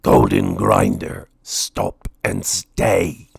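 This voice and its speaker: A man voicing a gigantic, kindly male dragon in a children's storybook, speaking slowly and deliberately with gentle solemnity. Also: low-pitched, big pitch swings, gravelly, breathy edge, dry recording, studio quality, pitched below the performer's own voice, full character voice. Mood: commanding. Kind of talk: children's storybook read-aloud.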